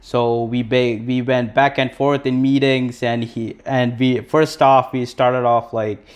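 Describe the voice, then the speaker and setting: A young man from Sri Lanka co-hosts a live podcast, talking loosely and circling back on his words. His voice sits at an ordinary pitch for a man, and he keeps the chat casual with plenty of fillers and restarts. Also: flat delivery, unhurried. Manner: rambling, many restarts and fillers. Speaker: podcast co-host.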